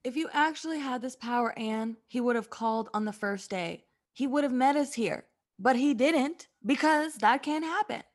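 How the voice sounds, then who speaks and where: A high-pitched, sardonic young woman, played by an actress, in a comedic take on old radio drama. She is blunt, bossy and thoroughly exasperated. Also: moderate pace, lightly acted. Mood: sarcastic disbelief. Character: exasperated, blunt, sarcastic young female companion.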